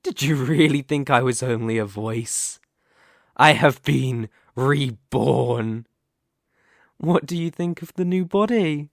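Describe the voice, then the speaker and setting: Male actor as a demon king, slow deliberate pace, dry close sound, grandiose, possessive, seductive menace, ASMR roleplay. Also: medium pitch, gravelly, no room sound. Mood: menacing, triumphant. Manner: theatrical, deliberate, condescending, grandiose. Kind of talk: scripted ASMR roleplay monologue.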